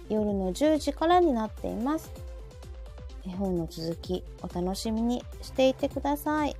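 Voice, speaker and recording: A woman's voice: a little high, warm tone. A woman hosting a live talk in casual chat, attentive and often chiming in with short listening sounds. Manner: attentive, frequent backchannels. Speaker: host of a live audio talk show. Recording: background music under the voice.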